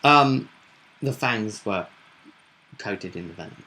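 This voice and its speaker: A man's voice: fairly low pitch, expressive, takes it slowly, bright, forceful tone, a little hiss or hum, rough recording. A man hosting a casual recap of a tabletop roleplay session, telling it as a story and acting out bits of dialogue and sound effects.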